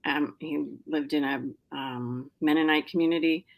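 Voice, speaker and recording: Low-voiced woman, chatty author guest. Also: steady tone, a little slow. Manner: chatty, lots of fillers and restarts, laughs often. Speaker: novelist guest. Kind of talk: casual interview chat.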